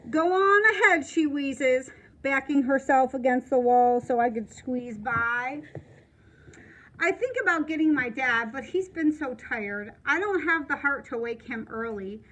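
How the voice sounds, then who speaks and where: A woman teacher reading a story aloud expressively, pausing to explain things to young listeners. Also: sits high, fairly bright tone, poor audio.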